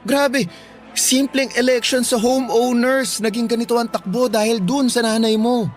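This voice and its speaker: A young man in a radio drama, with a notably high voice. He is blunt and exasperated, peppering his talk with English slang over faint music. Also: steady tone, moderate pace. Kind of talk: Tagalog radio drama acting.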